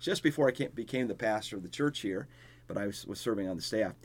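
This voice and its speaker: A middle-aged or older man with a gravelly voice, speaking as a pastor hosting a podcast interview. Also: on the low side, lively intonation, fast talker. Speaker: podcast host and church pastor.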